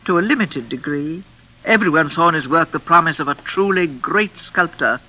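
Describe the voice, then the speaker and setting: An actress playing an elderly, genteel yet ominous grieving widow in a theatrical, formal 1940s radio drama style. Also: female voice, low voice, lively intonation, normal speed, bright, forceful tone, full character voice. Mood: wistful, grieving. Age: older. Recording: vintage radio recording with frying crackle.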